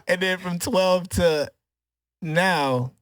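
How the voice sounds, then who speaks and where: A man's voice: sits high, very slow, a bit bright, dry recording, clean studio sound. Joking, slangy male podcast host. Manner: joking, slangy, laughs often. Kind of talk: casual interview banter.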